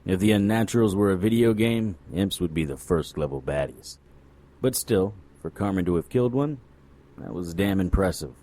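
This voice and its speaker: Low-voiced, sardonic hard-boiled detective. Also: male voice, lively intonation, moderate pace, warm tone. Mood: wry narrating. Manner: dry, wry, world-weary. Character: jaded, sardonic male hard-boiled occult investigator. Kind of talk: noir audio drama acting with first-person narration.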